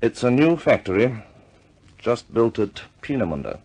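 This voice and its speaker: An actor playing a grave, businesslike senior British air force officer, briefing in a deliberate, measured way in 1950s war film style. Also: male voice, medium pitch, very animated, a little mellow, breathy edge, heavily acted.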